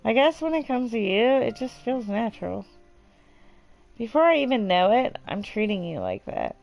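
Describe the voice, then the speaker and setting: A woman's voice: on the high side, big pitch swings, moderate pace, vocal fry, a little mellow, faint music behind, rough recording. A woman voicing a caring, bashful teenage best friend in a visual novel read-aloud, hesitant and understated.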